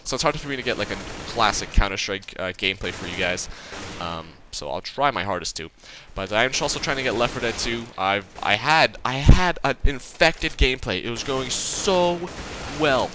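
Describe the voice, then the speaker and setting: A man's voice: medium pitch, lively intonation, normal speed, background noise. A nineteen-year-old man giving casual gameplay commentary, rambling and profane, stuttering and leaving sentences unfinished. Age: young adult. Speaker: gaming channel host.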